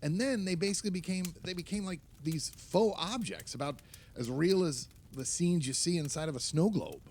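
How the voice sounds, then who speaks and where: An actor playing an opinionated, nostalgic film writer, talking emphatically and at length among friends in a naturalistic audio play. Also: male voice, fairly high pitch, lively intonation, moderate pace, a little mellow. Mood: exasperated, nostalgic.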